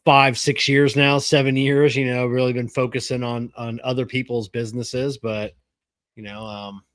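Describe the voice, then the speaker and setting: A man in his forties chats in a relaxed, easygoing way, stumbling and restarting as he goes and leaning on "you know". His voice sits at a middle pitch. The recording is dry and close-miked. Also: moderate pace, no echo. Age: 40s. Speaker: podcast co-host. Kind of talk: casual chat.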